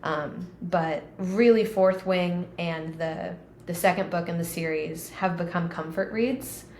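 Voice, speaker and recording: A woman's voice: lowish voice, measured pace, darkish tone. A woman in her thirties, co-hosting a podcast, telling stories in a chatty, casual way with many fillers. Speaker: podcast co-host. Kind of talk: casual conversation. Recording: roomy with faint background noise.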